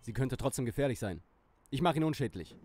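A man's voice: medium pitch, animated, quick pace, very noisy, close to an everyday voice. A man playing a bossy, aggressive, smug teenage leader in a parody audio play.

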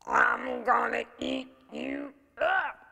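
Storybook troll, old, male and wicked, voiced by a woman, gravelly and sing-song, slow and menacing, with a reverberant echo. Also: on the high side, huge pitch swings, pushed and bright, faint music behind, pitched above the performer's own voice, full character voice. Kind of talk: storybook reading.

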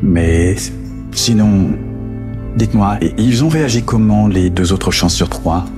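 A man playing an earnest, strained police commandant and father-to-be in an audio drama, with a halting, hesitant manner. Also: fairly low pitch, close to an everyday voice. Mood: conciliatory. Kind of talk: audio fiction dialogue. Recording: music behind the voice.

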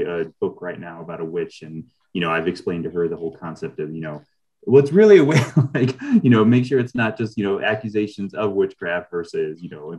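A middle-aged man chatting casually and a little haltingly as a guest on a video call. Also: low voice, a little mellow, rough recording. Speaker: writer, interview guest. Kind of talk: casual interview chat. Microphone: video call microphone.

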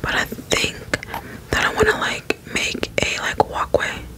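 Woman, ASMR let's play, whispered, soft, unhurried rambling, close mic. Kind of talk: ASMR whispered gameplay commentary.

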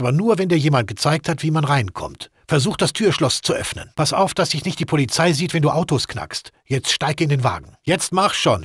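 A man's voice: fairly high pitch, fairly bright tone, husky, dry recording, clean studio sound. A man voicing a matter-of-fact, middle-aged mafia weapons man in a video game, with an instructive, streetwise manner.

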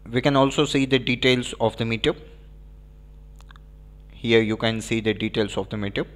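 A man with an Indian English accent giving a screen-recorded software walkthrough, pausing often with fillers. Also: mid-range voice, steady tone. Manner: hesitant, frequent filler pauses. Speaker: software demo presenter.

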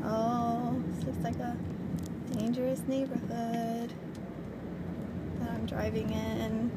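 A young woman with a fairly high voice rambles cheerfully to a handheld camera from the driver's seat. Her talk is full of fillers and restarts. Loud car and road noise runs under every word. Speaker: vlogger. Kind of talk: casual chat while driving. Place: inside a small car.

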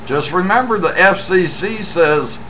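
Slow, emphatic middle-aged American man. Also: high-pitched, expressive, fairly bright tone. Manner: emphatic, boastful, deliberate phrasing. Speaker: CB radio hobbyist vlogger. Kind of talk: casual monologue to camera. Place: small indoor room at home. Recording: noisy home webcam recording.

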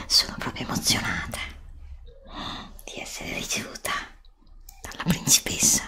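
A woman plays a wonder-struck, anxious young heroine in an ASMR roleplay. Her speech is whispered and unhurried, breathy and polite, with hushed exclamations. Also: rumbling low, voice pushed down, exaggerated voice. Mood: excited, awestruck.

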